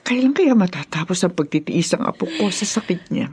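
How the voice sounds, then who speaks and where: Dark, husky, disapproving grandmother. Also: lowish voice, animated, brisk, no echo, exaggerated voice. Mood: worried. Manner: blunt, reproachful. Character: worried, blunt, disapproving older grandmother. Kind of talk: Filipino radio drama acting.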